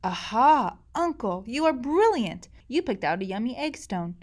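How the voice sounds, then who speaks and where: A woman voicing a polite, flattering nephew. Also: a little high, a little slow, voice pushed up. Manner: sweet, eager to please.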